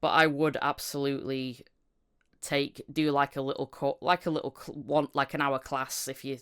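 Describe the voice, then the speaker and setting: A woman's voice: rumbling low, level tone, moderate pace, smooth. A British woman hosting a casual podcast chat, hesitant and self-effacing, recorded in a bedroom on a condenser microphone. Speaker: podcast host, voice actor. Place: bedroom at home.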